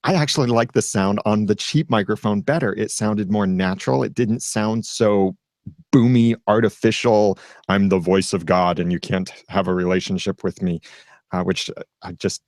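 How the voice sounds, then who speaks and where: A man's voice: fairly low pitch, fairly even intonation, normal speed, a little mellow, no room sound, clean studio sound. A man speaking as a guest in a casual roundtable chat, explaining at length with a self-deprecating streak. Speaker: podcast guest.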